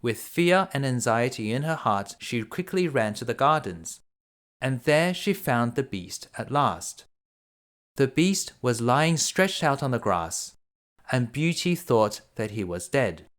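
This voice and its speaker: A man reading a children's fairy tale aloud as narrator, in an expressive storytelling manner. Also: mid-range voice, slow. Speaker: bedtime story reader.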